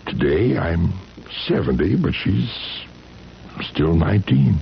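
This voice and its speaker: An actor playing a weary, distressed seventy-year-old husband in a 1970s radio drama, halting and repeating himself with quiet insistence. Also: male voice, deep voice, big pitch swings, creaky, heavily acted. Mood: tired, insistent. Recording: dull, noisy vintage radio recording.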